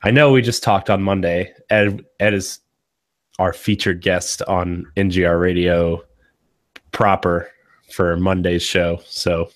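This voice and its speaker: A young man with a cold-hoarse voice hosting a casual gaming podcast, rambling and restarting his thoughts, recorded on a studio mic at home. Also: sits low, steady tone, slow. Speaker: podcast host. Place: small home studio with green backdrop. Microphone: studio condenser mic on boom arm.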